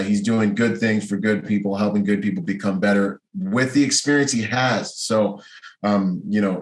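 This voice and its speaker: An American man hosting a podcast in casual, slangy, buddy-like but earnest conversation. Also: fairly low pitch. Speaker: podcast host and trainer.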